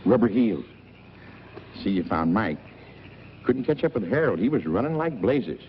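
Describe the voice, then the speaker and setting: A man's voice: on the low side, sing-song, moderate pace. A man acting a big, eager, insistent small-town newspaperman in a vintage film drama. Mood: brisk. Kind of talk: 1940s film character acting. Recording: dull, slightly noisy old film soundtrack.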